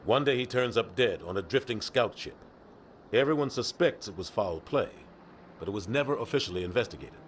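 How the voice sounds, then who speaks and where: An actor playing a world-weary military officer in a video game, matter-of-fact and clipped. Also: male voice, on the low side, animated, fairly quick, slight background noise, natural voice. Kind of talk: video game character acting.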